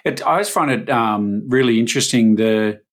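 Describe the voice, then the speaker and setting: Casual male podcast host. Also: mid-range voice. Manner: casual, halting with fillers and restarts. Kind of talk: conversational interview.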